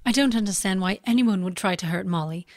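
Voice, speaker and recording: A woman's voice: medium pitch, brisk, no room sound, clean studio sound, natural voice. A woman playing an earnest young stage actress in a comedy audio drama. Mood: concerned.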